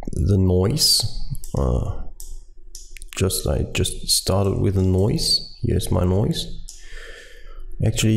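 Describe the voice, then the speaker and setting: A man with a German accent, a German native speaker, explaining a software tutorial step by step with many ums and uhs. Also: deep voice, creaky. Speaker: tutorial host.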